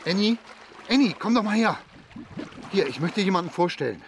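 Earnest, insistent father-figure, high-pitched and lively, children's radio drama, faint watery background. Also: normal speed, a little hiss or hum. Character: earnest, anxious pirate-tale family man, gentle guardian. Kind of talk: children's radio play acting.

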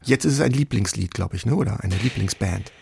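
A man's voice: lowish voice, animated, brisk, gravelly, a little mellow, slight background noise. A man hosting a radio interview in a conversational style.

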